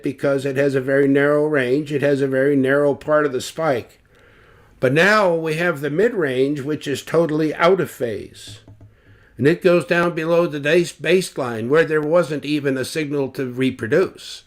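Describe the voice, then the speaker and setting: Older man, unhurried, technical lesson, deliberate with fillers, home webcam. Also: medium pitch. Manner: slow, deliberate, with fillers and restarts. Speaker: loudspeaker designer explaining measurements. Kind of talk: technical explanation with drawings. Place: home living room, indoors.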